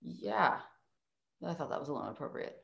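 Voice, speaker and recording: A skeptical former assistant speaks in a slightly low voice. She is deadpan and incredulous, with an anxious edge, in a comic virtual table read. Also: brisk, vocal fry, exaggerated voice. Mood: dry. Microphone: video call.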